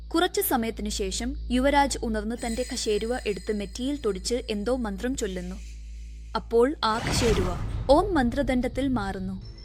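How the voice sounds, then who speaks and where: A woman reading scripted narration for a cartoon moral story. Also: on the high side.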